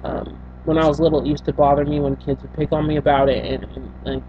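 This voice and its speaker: A young woman from Senegal speaks into a built-in webcam mic, picking her words haltingly with lots of restarts. The audio is dull and lo-fi, with a faint hum underneath. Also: low-pitched, level tone. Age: young adult. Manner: halting, with many fillers and restarts. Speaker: vlogger. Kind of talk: personal storytelling to camera. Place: small bedroom indoors.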